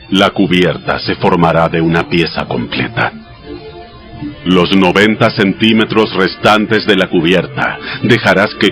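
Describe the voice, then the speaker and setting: God, deep and solemn. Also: male voice, a bit bright. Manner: measured, solemn, instructive. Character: God giving exact instructions, solemn commanding male. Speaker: voice actor in a dramatized audio Bible. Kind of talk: dramatized scripture reading. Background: music behind the voice.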